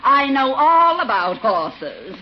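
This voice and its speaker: A woman's voice: high-pitched, sing-song, slow pace, crowd noise, exaggerated voice. An actress playing a confident, matter-of-fact saleswoman in a 1940s radio comedy. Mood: brisk, helpful. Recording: muffled, hissy 1940s radio recording.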